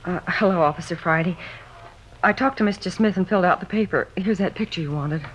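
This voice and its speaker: An actress playing a prim, fretful, homely middle-aged housewife in an old radio drama, with a folksy way of talking. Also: female voice, lowish voice, a little fast, natural voice. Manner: folksy, indignant, ungrammatical. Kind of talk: 1950s radio drama acting. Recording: dull, noisy old radio recording.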